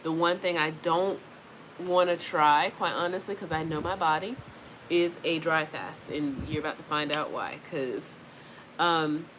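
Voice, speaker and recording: A woman's voice: deep voice, measured pace, fairly bright tone, low-quality recording. A congested woman vlogging casually from home, rambling with many fillers while fans run in the background. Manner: rambling, chatty, frequent fillers. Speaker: wellness vlogger. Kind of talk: casual talking-to-camera vlog. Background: faint fan noise.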